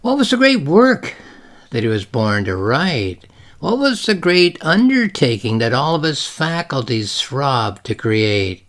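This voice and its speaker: Give this voice to a man presenting literature he wrote himself. He speaks at an average male pitch, phrasing things deliberately and leaning into dramatic emphasis on the read passages. His explanations are informal, like an author talking a viewer through the pages. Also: very animated, fairly bright tone. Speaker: novelist reading from and explaining own novel.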